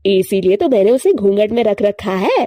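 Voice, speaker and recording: A proud mother bird, acted by a woman narrator, haughty and quick to scold. Her tone is disapproving but mellow in timbre, recorded very dry for a cartoon moral story. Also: mid-range voice, big pitch swings, dry recording.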